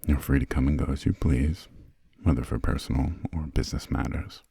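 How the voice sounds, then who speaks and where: A man playing a refined, imperious vampire lord in an ASMR roleplay, speaking in a hushed, formal, slightly haughty way with a breathy, gravelly low voice. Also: fairly even intonation, a little fast, dry recording, clean studio sound, full character voice. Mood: stern, formal. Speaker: employer briefing a new assistant.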